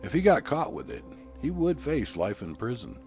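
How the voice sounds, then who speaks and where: A man's voice: mid-range voice, huge pitch swings, normal speed, creaky, a little mellow. A man reading a short story aloud as a scripted audiobook narrator. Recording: music bed under voice. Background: background music.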